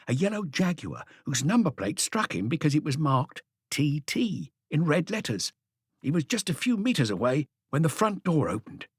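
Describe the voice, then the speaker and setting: A man voicing an excitable, eager police inspector who reports deferentially to his boss in an audiobook. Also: a little high, breathy edge, dry recording, clean studio sound.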